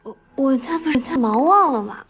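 High-voiced quick-witted young woman. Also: animated, highs cut off. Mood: eager. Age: young adult. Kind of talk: naturalistic TV drama acting.

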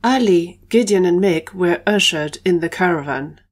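A woman's voice: lowish voice, moderate pace. A woman reading scripted narration as the narrator of an audio story.

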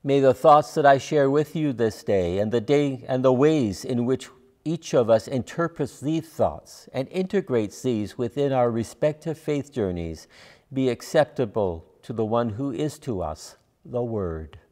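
An older man delivering a measured, scripted sermon as a preacher, deliberate in his phrasing. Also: mid-range voice, animated, a little mellow. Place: large church sanctuary, at a lectern. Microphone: lapel mic. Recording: long church reverb.

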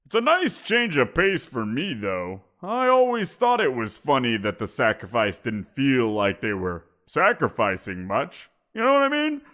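A man's voice: medium pitch, wildly swooping pitch, a little slow, few pauses, a bit bright. A man voicing a giant wolf creature in an audio roleplay, teasing and amused, chuckling as he talks. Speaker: voice actor.

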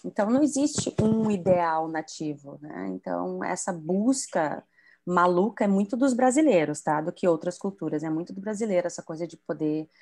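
A woman's voice: on the low side, normal speed, creaky, warm tone. Woman from southern Brazil, explaining. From southern Brazil (Rio Grande do Sul). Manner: didactic, explanatory, frequent tag questions. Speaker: bilingualism educator and author. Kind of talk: explanatory talk on a video call.

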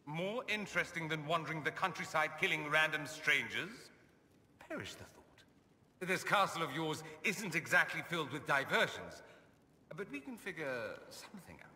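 A man's voice: on the high side, lively intonation, normal speed, sharp tone. Witty flirting male mage, dry and teasing, echoey tail. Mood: teasing, wry. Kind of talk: video game voice acting.

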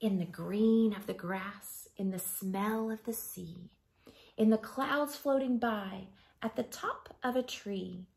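Woman, thirties, slow pace, creaky voice, gentle storytime read-aloud for children, hushed line endings. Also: medium pitch. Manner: gentle, deliberate, expressive storytelling with softened, breathy line endings. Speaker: elementary school teacher reading to children. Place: small living room at home.

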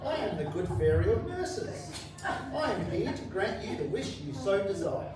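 A man's voice: high voice, sing-song, moderate pace, over a noisy crowd. Man playing self-important pantomime fairy, swooping delivery. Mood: grand. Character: unhelpful, self-important good fairy of nurses, female. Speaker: amateur pantomime performer. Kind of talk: amateur stage pantomime, declaimed from script. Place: care home common room, indoors, before an audience. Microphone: far camera. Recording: echoey hall, distant microphone, clear room noise.

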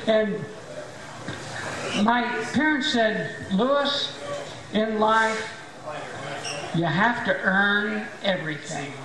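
Squeaky-voiced older man doing stand-up. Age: 70s. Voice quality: squeaky, high voice after voice surgery. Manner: dry, self-mocking, deadpan jokes. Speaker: stand-up comedian. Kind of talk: stand-up comedy set. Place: small tavern stage with audience. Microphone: handheld mic. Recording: echoey bar room with crowd.

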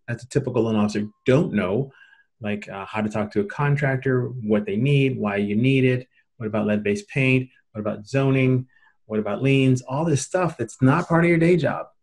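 A man's voice: medium pitch, a little mellow. A middle-aged man with an American accent, presenting an informal training webinar in a plain-spoken, colloquial, explanatory way.

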